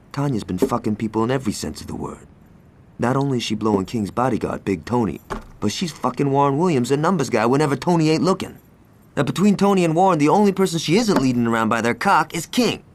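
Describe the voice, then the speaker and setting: A man's voice: medium pitch, lively intonation, fast. An actor playing a brash, hot-tempered male gang enforcer in a game cutscene, blunt, sarcastic and profane. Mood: scheming. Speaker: gang lieutenant in a crime game. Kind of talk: video game cutscene acting.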